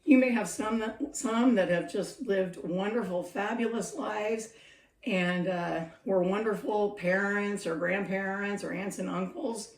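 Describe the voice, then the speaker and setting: A woman's voice: medium pitch, steady tone, a little slow, darkish tone. A middle-aged woman with a scratchy voice chatting reflectively in a vlog, laughing often as she talks. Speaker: senior care worker sharing advice. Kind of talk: casual talking-to-camera vlog. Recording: roomy echo, mic a bit distant.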